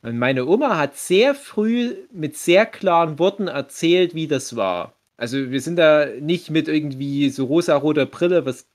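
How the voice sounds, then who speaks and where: A man's voice: fairly high pitch, animated, moderate pace, few pauses. A man in his thirties with a German accent, reminiscing in a casual, reflective and explanatory way. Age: 30s.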